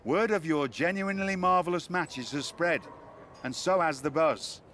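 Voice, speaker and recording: Man acting a theatrical, higher-pitched wrestling promoter. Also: moderate pace, a bit bright, slight background noise, lightly acted. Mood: formal, showman-like. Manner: articulate, theatrical, dry wit. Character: dapper, theatrical male wrestling promoter and go-between. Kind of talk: video game cutscene dialogue.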